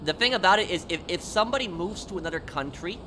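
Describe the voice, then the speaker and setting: A man chatting casually on a gaming livestream, answering viewers in a halting, repetitive, emphatic explanatory way. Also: high voice, lively intonation, bright, forceful tone, slight background noise.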